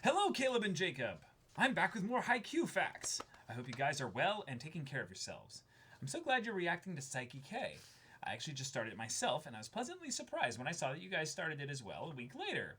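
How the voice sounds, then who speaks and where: A man's voice: fairly high pitch, huge pitch swings, a little fast, sharp tone, slight background noise. A young man co-hosting a show, reading fan letters aloud and breaking off with enthusiastic, chatty asides. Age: young adult. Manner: enthusiastic, interjects reactions while reading. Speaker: co-host of a reaction and unboxing show. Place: small indoor room, seated beside co-host.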